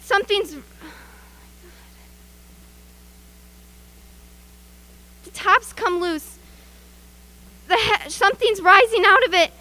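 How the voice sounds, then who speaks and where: A woman playing an eager, brisk radio field reporter in a live news report from a radio play. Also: very high-pitched, sharp tone, heavily acted. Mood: alarmed, horrified.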